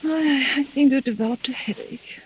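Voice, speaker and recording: A woman playing a frightened, suspicious wife in a 1940s radio drama, with an anxious, halting, insistent delivery. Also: high-pitched, a little hiss or hum, natural voice, low-quality recording. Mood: suspicious, subdued. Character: frightened, suspicious wife haunted by fears of murder. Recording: vintage 1940s radio recording.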